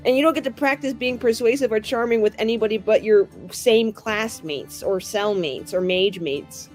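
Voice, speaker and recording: A woman in expressive, slangy casual chat about a tabletop game, acting out bits of dialogue as she retells them. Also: a little high, moderate pace. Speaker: tabletop roleplaying game player. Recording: music playing underneath.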